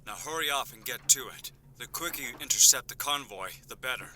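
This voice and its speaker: A man's voice: medium pitch, lively intonation, a little fast, sharp tone, slight background noise. An actor playing a curt, menacing crime syndicate henchman in an audio drama, stern and condescending. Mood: stern, businesslike.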